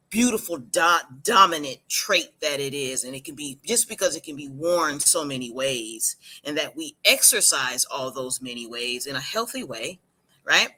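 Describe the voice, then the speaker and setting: A woman's voice: rumbling low, animated, bright, forceful tone, slightly rough, rough recording. A woman from Puerto Rico, a hairstylist, making her point emphatically on a livestream panel. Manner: emphatic, preachy, drawn-out lists.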